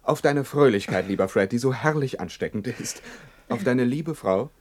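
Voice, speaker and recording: An actor playing a genial, slightly bashful party guest in a radio play, effusive and convivial. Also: male voice, mid-range voice, expressive, moderate pace, crowd noise, close to an everyday voice. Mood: merry. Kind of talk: 1960s German radio play acting.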